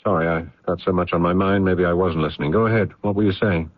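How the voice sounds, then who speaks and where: Male actor doing worn-out responsible brother in old 70s radio play, lowish voice, earnest and dramatic, dull dry sound. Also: steady tone, fast talker, no echo, close to an everyday voice. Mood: exasperated. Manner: earnest, hesitant when pressed, dramatic. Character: weary, burdened man, responsible brother and narrator. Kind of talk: 1970s radio drama acting and narration. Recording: muffled vintage radio recording.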